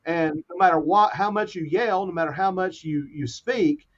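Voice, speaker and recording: Fifties guy explaining stuff slow and steady on a podcast, kinda higher voice, bit muffled audio. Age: 50s. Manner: measured, explanatory. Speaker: guest expert. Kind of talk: podcast discussion.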